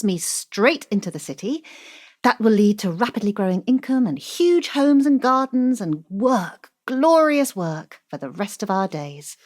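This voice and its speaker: A woman's voice: mid-range voice, a little mellow, close to an everyday voice. An actress playing a pragmatic, ambitious female student in an audio drama, dry and matter-of-fact. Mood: brisk, ambitious. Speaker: actor.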